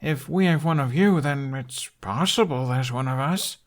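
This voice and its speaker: A man's voice: mid-range voice, normal speed, voice pushed up, close to an everyday voice. A man voicing an eager, curious boy who rambles awkwardly and hesitantly in an audiobook. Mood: excited, chagrined.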